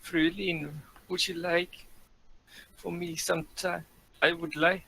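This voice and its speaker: Man putting on a slow, stilted German medic. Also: a little high, little pitch movement, creaky, very noisy, voice pushed up. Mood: awkwardly affectionate. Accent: put-on German. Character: friendly German army medic wooing his sweetheart.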